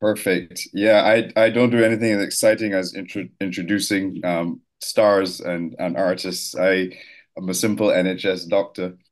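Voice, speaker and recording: A man's voice: deep voice, flat delivery, normal speed. A man from Barbados, a doctor, answering interview questions politely and steadily over an earbud microphone. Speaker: doctor, urologist guest. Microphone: earbuds mic.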